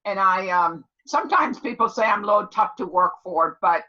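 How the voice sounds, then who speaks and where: A woman's voice: medium pitch, lively intonation, moderate pace, lo-fi sound. A woman of seventy talking casually to a class as their instructor, emphatic and motherly, often repeating herself to check she is understood. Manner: loud, clear talker; motherly pet names for listeners. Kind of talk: casual motivational class talk.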